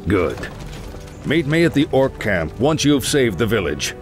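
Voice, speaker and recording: A man's voice: medium pitch, very animated, unhurried, soft background music. A man playing a seasoned, fatherly knight commander in a fantasy game cinematic, hearty and soldierly. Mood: resolute.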